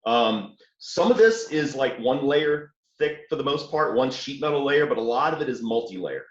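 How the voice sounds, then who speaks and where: A man from New Orleans with a light Southern accent, explaining his Jeep build step by step in a relaxed, casual way. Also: mid-range voice, moderate pace, roomy sound, lo-fi sound. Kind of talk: casual technical chat. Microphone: remote call.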